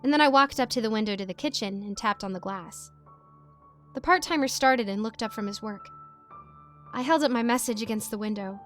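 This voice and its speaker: A woman with a fairly high voice narrates a scripted story. Her delivery is expressive, shaped for storytelling. Faint music plays underneath her. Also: fairly quick.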